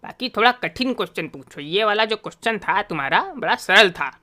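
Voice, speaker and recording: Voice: mid-range voice, animated, a little fast, sharp tone. A performer voicing a cheeky, impatient quiz contestant in a comedy cartoon, whiny, mocking and clownish. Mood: sulky demanding. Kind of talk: comic cartoon banter.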